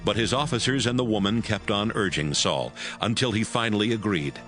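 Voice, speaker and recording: A man's voice: lowish voice, a little fast, over music. A man narrating a dramatized audio Bible as scripted storytelling.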